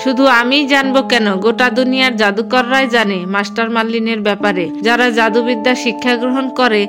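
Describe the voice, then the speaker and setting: A woman's voice: on the high side, steady tone, brisk, close to an everyday voice. A woman playing a wise magician aunt in a cartoon, explaining things with fond chiding. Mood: reassuring, instructive. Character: wise, reassuring magician aunt of a young girl. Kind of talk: Bengali cartoon voice acting.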